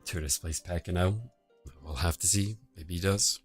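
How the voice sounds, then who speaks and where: Low-voiced man casting a mobile match. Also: moderate pace. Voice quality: quiet, low-level voice. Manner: relaxed, low-key, answers chat between play calls. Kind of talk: casual live-stream commentary. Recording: home stream audio with faint music behind. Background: faint background music.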